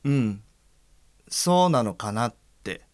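A sensitive, perceptive blind man, played by a male actor in a radio drama. He talks reflectively in a medium-pitched voice with lively melody, recorded dry. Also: slow, no room sound. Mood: pensive. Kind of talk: Japanese audio drama acting.

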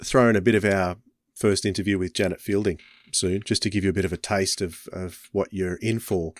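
A man's voice: low-pitched, fairly even intonation, a little fast, dry recording, studio quality. A man hosting a podcast in a casual, rambling, informal way. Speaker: podcast host. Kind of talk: casual chat.

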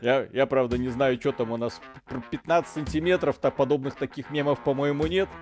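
A man's voice: mid-range voice, fairly even intonation, a little fast, darkish tone, soft background music. Casual male streamer reading donations. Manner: loose, swears, trails off and restarts. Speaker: stream co-host.

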